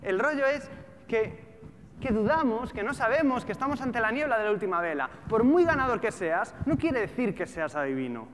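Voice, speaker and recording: A man's voice: very high-pitched, very animated, moderate pace, fairly bright tone. A man in his thirties with a European Spanish accent, giving an informal, colloquial talk to a live audience, full of rhetorical questions. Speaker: speaker, trader and trading educator. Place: large auditorium stage with seated audience. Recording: echoey hall with background noise.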